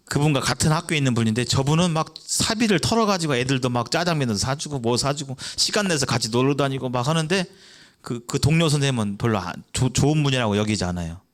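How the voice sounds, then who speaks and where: A man's voice: expressive, brisk. Korean male preacher quizzing the congregation. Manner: asks the audience questions and answers them himself; acts out children's voices in a brief high voice. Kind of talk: evangelistic sermon.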